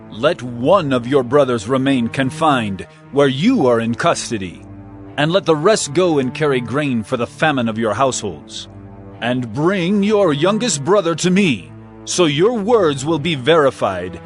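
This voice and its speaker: A man playing a stern governor who speaks slowly and firmly in a dramatized scripture reading, over faint music. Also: medium pitch, animated, lightly acted. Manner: slow, stern and measured. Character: stern Egyptian governor testing his brothers.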